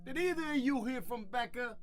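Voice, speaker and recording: High-pitched, needling man. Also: a little fast, music in the background. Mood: taunting, pleading. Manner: needling, crude, wheedling. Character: Lenny, eerie, taunting, pleading brother outside a door. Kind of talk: audio drama acting.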